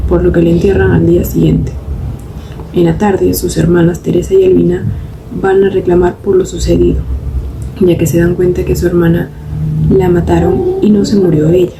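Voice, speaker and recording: A woman's voice: fairly low pitch, vocal fry. A woman narrating a scripted plot summary on a noisy amateur recording.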